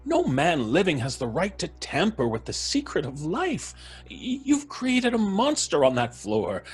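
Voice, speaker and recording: An actor playing a genteel, moralising older professor in old-time radio horror style, husky, fussy and stammering. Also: male voice, on the high side, wildly swooping pitch, a little slow, faint music behind, heavily acted. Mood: appalled.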